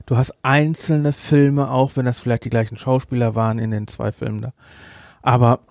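A male podcast host chats through a review in a relaxed, dark voice with plenty of fry. He speaks bluntly and haltingly, and the sound is dry and close. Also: medium pitch, no room sound. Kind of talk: casual review chat.

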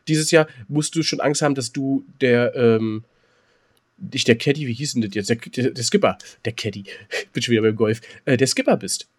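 A man's voice: mid-range voice, moderate pace. A man co-hosting a podcast in casual banter, chatty and slangy, hopping between half-finished sentences. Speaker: podcast co-host.

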